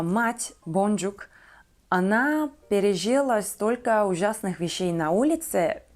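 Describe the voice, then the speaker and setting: A woman in her twenties from Turkey, a native Turkish speaker with a Turkish accent, talking to viewers about herself as a vlogger in careful, slightly halting phrases. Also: mid-range voice. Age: 20s.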